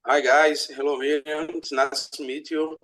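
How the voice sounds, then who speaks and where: Flat-voiced Brazilian man introducing himself. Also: on the high side, normal speed, poor audio. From Bahia, Brazil. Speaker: student. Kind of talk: self-introduction.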